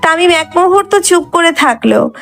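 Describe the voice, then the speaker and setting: A woman narrates a dramatic scripted story in a high voice. Background music plays underneath her telling. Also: expressive, moderate pace, creaky.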